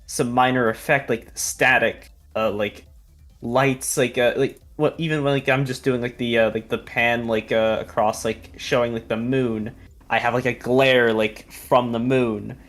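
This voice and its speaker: Young adult, medium pitch, informal rambling stream chat, stop-and-start delivery, music in the background. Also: fairly even intonation, unhurried, fairly bright tone. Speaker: video editor on a fan animation project. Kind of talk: casual behind-the-scenes livestream chat. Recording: music behind the voice.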